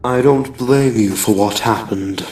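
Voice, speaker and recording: A man's voice: fairly low pitch, measured pace, darkish tone, natural voice. A man playing a stern, proud old echidna great-grandfather full of reproach in a comic dub. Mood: bitter, reproachful. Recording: echoey, distant, music underneath.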